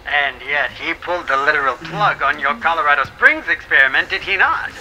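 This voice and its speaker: A man's voice: a little high, lively intonation, fairly quick, bright, forceful tone, slight background noise, rough recording. A man playing a stern, scornful government attorney in a courtroom audio drama, cross-examining in a pompous, clipped, theatrical manner. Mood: accusing.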